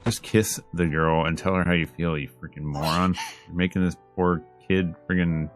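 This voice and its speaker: A man's voice: deep voice, steady tone, moderate pace. A man streaming a visual novel, reading it aloud with wry, casual and exasperated asides. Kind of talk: let's play commentary and dramatic read-aloud. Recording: music playing behind.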